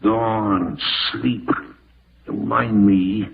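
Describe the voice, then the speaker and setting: An actor in an old-time radio horror drama playing a bitter, greedy, sleepless husband who grumbles and mutters to himself. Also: male voice, lowish voice, steady tone, extremely slow, gravelly, heavily acted. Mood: bitter, self-pitying. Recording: muffled, noisy old radio recording.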